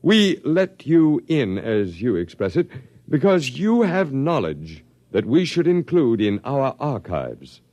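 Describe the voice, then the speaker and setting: An actor playing a calm, coolly reasonable elderly alien archivist in a 1950s radio drama. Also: male voice, mid-range voice, slow, no echo, dull audio.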